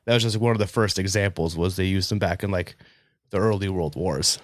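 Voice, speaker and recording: A man's voice: low voice, fairly quick, dry recording, studio quality. A congested man hosting a casual podcast, talking informally and swearing freely. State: congested with a cold. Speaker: podcast host.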